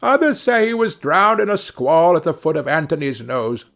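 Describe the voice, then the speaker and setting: A man's voice: fairly high pitch, big pitch swings, normal speed, creaky, fairly bright tone, heavily acted. A man voicing a very old village man in a storybook, thin and piping, wistful and rambling. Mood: matter-of-fact, wistful.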